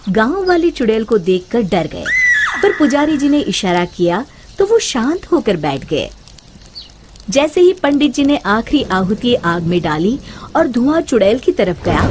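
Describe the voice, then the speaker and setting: Lady reading a cartoon story, highish animated voice, slow pace, noisy recording. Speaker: story narrator. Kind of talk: scripted cartoon story narration. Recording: noticeable background noise.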